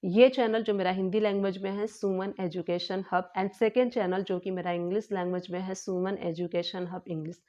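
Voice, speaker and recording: Woman host, patient explainer, halting with fillers, mid pitch, slightly muffled home recording. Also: steady tone, mellow. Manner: explanatory, repetitive, hesitant with frequent fillers. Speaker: channel host explaining tax news. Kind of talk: informal explanatory lesson.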